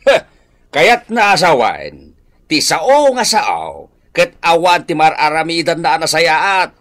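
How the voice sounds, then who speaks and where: A man with a Filipino accent playing a bossy, exasperated village captain in a radio drama, scolding with emphatic, drawn-out words. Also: fairly high pitch, sing-song, fairly bright tone, full character voice. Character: exasperated village captain bossing his staff around.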